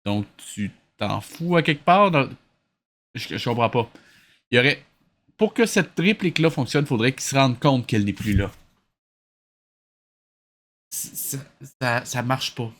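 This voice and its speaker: A man's voice: medium pitch, lively intonation, unhurried, pauses often, fairly bright tone. A man with a Québécois accent comments casually, as if reacting to something on screen. He is sarcastic and openly exasperated, picking things apart with dry disbelief. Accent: Québécois French. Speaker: host of a series-watching reaction show.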